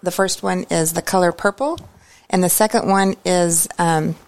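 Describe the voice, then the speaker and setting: A woman's voice: lowish voice, steady tone, normal speed. A middle-aged woman from Oklahoma, giving brief, plain answers in a short school interview. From Oklahoma, USA.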